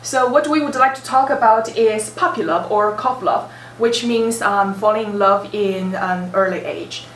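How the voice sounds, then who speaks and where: A woman's voice: mid-range voice, measured pace, a little hiss or hum. A woman hosting a casual discussion, curious and teasing as she asks questions. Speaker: host. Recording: echoey room, far from mic.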